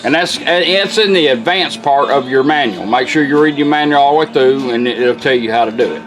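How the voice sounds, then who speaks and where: A man's voice: medium pitch, fairly quick, music in the background, low-quality recording. A man giving a casual, plain-spoken, step-by-step hands-on tutorial. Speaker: product demonstrator, radio restorer. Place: indoor workbench.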